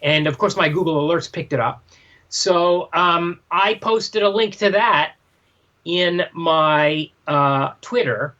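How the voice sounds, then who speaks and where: Male podcast co-host, fairly high voice, halting casual storyteller, dull lo-fi recording. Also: pushed and bright. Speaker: podcast co-host and manga translator. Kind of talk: casual chat, telling an anecdote.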